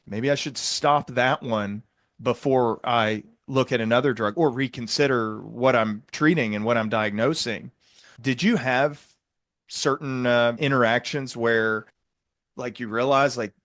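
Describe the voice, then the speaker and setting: A man's voice: mid-range voice, unhurried, fairly bright tone, dry recording, clean studio sound. A man hosting an interview, explaining and asking questions conversationally. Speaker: interview host and medication prescriber.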